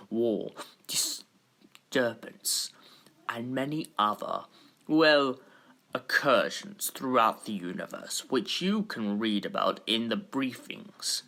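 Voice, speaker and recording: An actor playing a stern, formal Time Lord president in a sci-fi audio drama, speaking with pompous deliberation and weighty pauses. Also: male voice, lowish voice, vocal fry, sharp tone, heavily acted.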